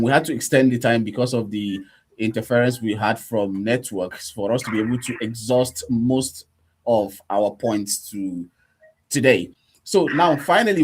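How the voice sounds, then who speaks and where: A man hosting a radio interview, putting questions in a formal, courteous way. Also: medium pitch.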